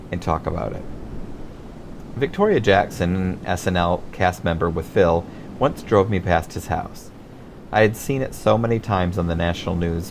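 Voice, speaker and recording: A man's voice: low-pitched, gravelly. A middle-aged American man from Michigan reading his own memoir as scripted audiobook narration. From Michigan, United States.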